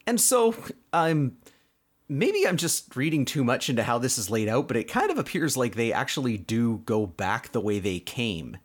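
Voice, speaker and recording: A man's voice: medium pitch, big pitch swings, normal speed. A man hosting a podcast, casually recapping a cartoon episode in a conversational way with explanatory asides. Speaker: podcast host.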